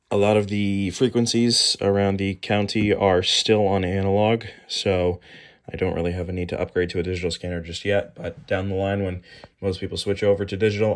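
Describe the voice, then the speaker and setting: Very low-voiced man, casual. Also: level tone, brisk. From New England, United States. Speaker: hobbyist video host. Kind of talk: casual show-and-tell product rundown.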